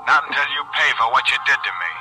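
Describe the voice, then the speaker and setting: An actor playing the vengeful ghost of a murdered gangster, in 1940s radio-drama style, with slow, taunting menace. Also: male voice, mid-range voice, over music, natural voice, poor audio. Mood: menacing.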